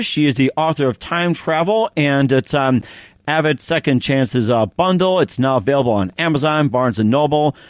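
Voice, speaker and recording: Male interview host, slightly high voice, dry close mic, loose rambling patter full of fillers. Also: no room sound. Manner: rambling, many fillers and repeated "as well, too". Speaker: podcast host. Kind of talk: casual interview with read sponsor spots.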